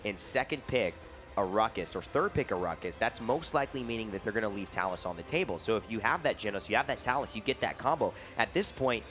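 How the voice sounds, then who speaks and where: A man's voice: fairly low pitch, lively intonation, fast, fairly bright tone, faint music behind. A man casting a live esports tournament, mixing playful banter with game analysis. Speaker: esports caster. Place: esports expo hall arena.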